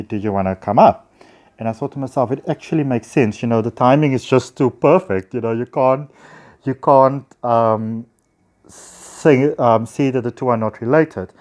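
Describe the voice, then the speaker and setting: Male, South African accent, mid-pitched, effusive and chatty; informal phone vlog monologue addressed to viewers. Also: a little mellow. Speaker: spiritual healer and teacher. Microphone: handheld phone.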